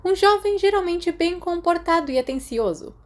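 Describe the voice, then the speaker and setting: A woman's voice: very high-pitched, expressive, voice pushed up. Casting: woman reader as middle-aged female professor of magic, stern yet kind, formal delivery, storybook. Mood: formal, approving. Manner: formal, measured praise.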